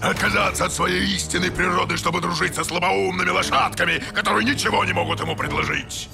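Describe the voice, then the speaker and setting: An actor playing a huge, contemptuous demon centaur in a dubbed cartoon, with a menacing, theatrical manner. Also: male voice, sits high, fairly even intonation, fast, gravelly. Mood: scornful. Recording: loud music under the voice.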